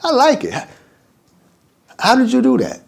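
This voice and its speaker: An older man leads an informal religious class as imam. His pitch swings widely and he talks loosely, drifting between points and slipping into quick back-and-forth exchanges he plays out himself. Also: creaky, breathy edge. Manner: animated and digressive, acts out conversations.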